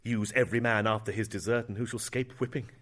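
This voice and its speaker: A man playing a brooding, grieving young prince in a Shakespearean drama, with a theatrical, emphatic delivery that moves between hushed and declaiming. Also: fairly low pitch, fairly even intonation, quick pace, lightly acted. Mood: courteous, commanding.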